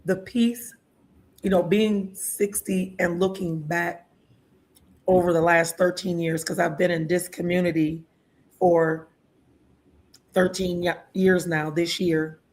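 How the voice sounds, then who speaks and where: Lively sixty-year-old woman host, lower voice. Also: slow pace, long pauses. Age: 60s. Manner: lively, laughs often, chatty. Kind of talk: casual interview talk.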